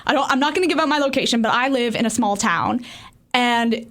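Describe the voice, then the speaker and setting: A woman's voice: a little high, brisk, fairly bright tone. A young woman co-hosting on radio, reading out messages and chatting in an informal, candid way. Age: young adult. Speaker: student co-host reading listener messages.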